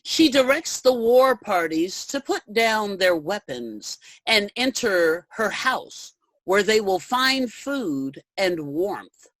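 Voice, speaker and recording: A woman's voice: mid-range voice, very animated, slow pace, no room sound, poor audio. A woman playing an earnest suffragist speaker in a staged reading, declaiming solemnly. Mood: earnest, grave. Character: earnest suffragist poet and storyteller. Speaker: reader in a reader's theater.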